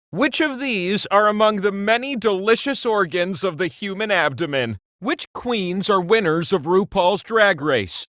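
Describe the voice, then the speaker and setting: An actor playing a cocky, ancient talking wheel who hosts a video game quiz show with pompous, playful theatrical delivery. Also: male voice, high voice, fairly bright tone, no echo, clean studio sound. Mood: theatrical, wry.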